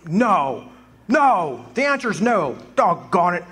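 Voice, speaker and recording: A man's voice: sits high, very animated, takes it slowly, a bit bright, breathy edge, full character voice. A man playing an earnest, frustrated young small-town husband in an amateur stage radio drama, speaking emphatically and stammering when upset. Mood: indignant.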